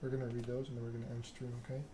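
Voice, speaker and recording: A man softly narrating a story game in ASMR style, reading in a measured, deliberate way. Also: mid-range voice, completely flat delivery. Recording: clearly noisy background ambience.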